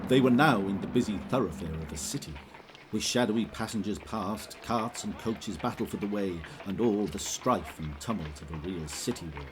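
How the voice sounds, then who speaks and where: A man's voice: on the low side, lively intonation, normal speed, music in the background, natural voice. A man narrating a Victorian ghost tale as a solemn, dramatic storyteller. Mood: vivid.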